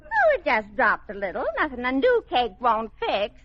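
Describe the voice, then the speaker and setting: An actress playing a loyal housemaid in a 1940s radio comedy, deferential with deadpan quips. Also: female voice, a little high, moderate pace. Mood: soothing. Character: loyal, dry-witted housemaid to a suburban wife. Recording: noisy lo-fi old radio, loud audience.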